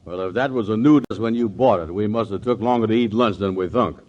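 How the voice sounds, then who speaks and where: A man playing a gruff, slow-witted sidekick in a 1940s radio comedy, grumbling bluntly in ungrammatical speech. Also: lowish voice, darkish tone, lightly acted. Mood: doubtful. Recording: muffled, hissy 1940s radio recording.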